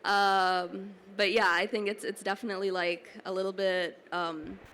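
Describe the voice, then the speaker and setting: Young woman, bright and chatty. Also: medium pitch, flat delivery, moderate pace, slight background noise. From Toronto, Canada. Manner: casual, many "like" and "you know" fillers. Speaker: panelist. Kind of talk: panel discussion answer. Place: book fair panel stage.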